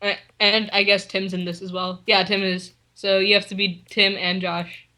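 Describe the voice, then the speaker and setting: A woman's voice: mid-range voice, flat delivery, moderate pace, pushed and bright. A woman doing a casual dramatic reading with friends, giggly and often breaking off to comment on the text. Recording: music playing behind the voice. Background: music.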